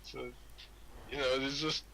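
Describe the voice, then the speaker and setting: A man's voice: medium pitch, flat delivery, a little slow, creaky, pushed and bright, slight background noise. A man from Bulgaria chatting casually on a gaming podcast, rambling and often repeating his words. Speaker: podcast host.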